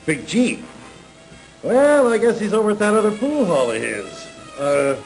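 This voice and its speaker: An actor playing a smug, envious pool shark in a video game cutscene, with showy, drawling taunts. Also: male voice, high-pitched, sing-song, measured pace, faint music behind, exaggerated voice, rough recording. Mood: rueful.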